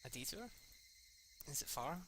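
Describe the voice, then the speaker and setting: A man's voice: medium pitch, sing-song, moderate pace, noisy background, lightly acted. Man reading as an easygoing teenage boy. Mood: relaxed. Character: casual, good-natured teenage city boy. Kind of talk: visual novel character reading.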